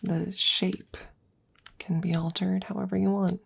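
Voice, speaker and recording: Woman acting a breathy, whispery, worried scientist friend. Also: medium pitch, flat delivery, a little slow, exaggerated voice. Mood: tender, earnest. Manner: hesitant, rambling, gently reassuring. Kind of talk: ASMR audio roleplay, close and soft. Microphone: close microphone.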